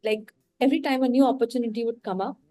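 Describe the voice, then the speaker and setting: A woman's voice: a little high, fairly even intonation, quick pace, mellow, no room sound, clean studio sound. A woman from India with an Indian English accent, a podcast guest speaking candidly and reflectively. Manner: reflective and candid, talks through her thoughts. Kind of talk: casual interview conversation.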